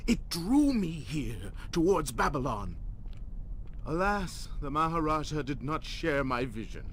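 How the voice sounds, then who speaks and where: An actor playing a gloating, power-hungry old vizier villain who seeks immortality, with a grandiose, menacing delivery for a video game. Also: male voice, mid-range voice, fairly bright tone, a little hiss or hum. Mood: ominous, ambitious. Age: older. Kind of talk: dramatic video game voice acting. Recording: music behind the voice.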